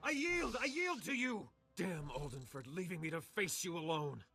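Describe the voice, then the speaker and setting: An actor playing an earnest, proud Jedi knight with a husky voice in a video game. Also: male voice, high voice, sing-song, normal speed, bright, forceful tone, soft background music, heavily acted. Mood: desperate surrender.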